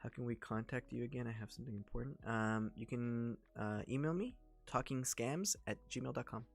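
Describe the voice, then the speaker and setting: A man's voice: medium pitch, normal speed, gravelly, soft background music. A man hosting a casual livestream, talking in a rambling, chatty way with many fillers. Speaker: livestream host and scam baiter.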